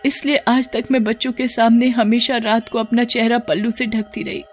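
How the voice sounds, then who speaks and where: A woman voicing a gentle witch-ghost mother. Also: on the high side, fairly even intonation, normal speed, soft background music, close to an everyday voice. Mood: pleading.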